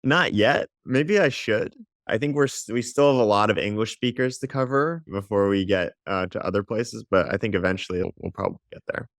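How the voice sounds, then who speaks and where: Man, podcast guest, very low voice, animated, chatty, dry sound. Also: dry recording. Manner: quick, animated storytelling with fillers. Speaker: podcast guest, writer and founder. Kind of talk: conversational interview.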